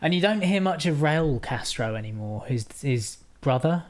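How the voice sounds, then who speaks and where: A man co-hosting a podcast, chatting casually with quick backchannels and gentle teasing. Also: a little high, lively intonation. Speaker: podcast co-host. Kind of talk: casual chat.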